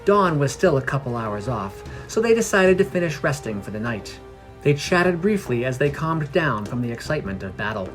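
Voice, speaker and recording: A man's voice: mid-range voice, sing-song, moderate pace. Male fantasy story narrator over music. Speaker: narrator of a fantasy fiction podcast.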